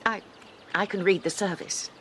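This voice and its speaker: Cast a woman as a proper, mid-pitched missionary sister, noisy recording. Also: steady tone, moderate pace, natural voice. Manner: formal, polite, slightly flustered. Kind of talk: radio drama acting. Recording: noisy recording with audible hiss.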